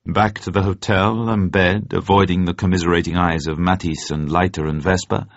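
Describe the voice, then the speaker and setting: A man reading a thriller as a dramatic scripted narration, with measured, suspenseful phrasing. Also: low voice, fairly even intonation. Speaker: narrator, reader. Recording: dull, echoey audio.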